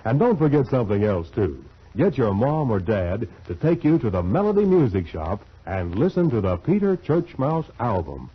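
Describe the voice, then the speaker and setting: A man's voice: mid-range voice, sing-song, normal speed, few pauses, slightly rough. A man announcing and selling to children in vintage 1940s radio style. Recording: dull old 1940s radio recording.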